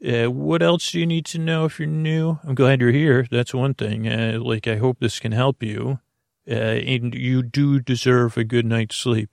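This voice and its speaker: A man hosting a sleep podcast in a gentle, meandering, halting monologue full of tangents and false starts. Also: mid-range voice, vocal fry, dry recording, clean studio sound. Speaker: podcast host. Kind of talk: rambling bedtime monologue to help listeners sleep.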